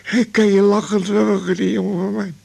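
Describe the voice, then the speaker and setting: A gravelly, stammering old man. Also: high-pitched, little pitch movement, mellow, exaggerated voice. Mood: bitter. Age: 80s. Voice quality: gravelly, husky. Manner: halting, stammering, repeating words. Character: bitter, stubborn old man weary of life. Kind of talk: naturalistic radio drama acting.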